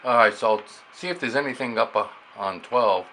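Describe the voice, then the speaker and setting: A man casually demonstrating amateur radio gear, haltingly thinking aloud as he works the controls. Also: mid-range voice, bright, forceful tone. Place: beside operating radio equipment. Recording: noisy recording with clear background hiss.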